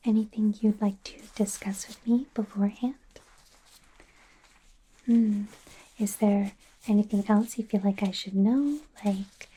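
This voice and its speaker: Slow whispering, anxious young werewolf doctor played by a woman. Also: mid-range voice, poor audio. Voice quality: breathy whisper. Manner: hesitant, stammering, flustered and gentle. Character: anxious, awkward young female werewolf medical student playing doctor. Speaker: ASMR roleplay performer. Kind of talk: soft-spoken, whispered ASMR roleplay.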